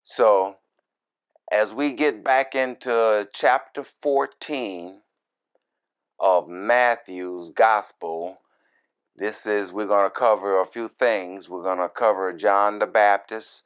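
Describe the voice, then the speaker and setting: Preacher guy reading bible verses, really slow short phrases, smooth clear voice, folksy comments in between. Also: on the low side. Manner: deliberate, broken short phrases, folksy asides. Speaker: minister, Bible teacher.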